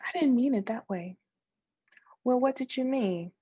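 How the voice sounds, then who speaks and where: A woman giving an expressive read-aloud of a novel's dialogue, acting out both sides of a conversation. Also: a little high, unhurried, breathy edge, no room sound, dull audio. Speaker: guest reader of a novel.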